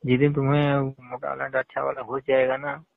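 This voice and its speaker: A man's voice: mid-range voice, completely flat delivery, normal speed, vocal fry, dry recording. A man talks over a narrow, tinny phone line. He is casual and insistent, circling back with repeated questions. The sound is dry and close, with no room around it. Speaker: phone caller.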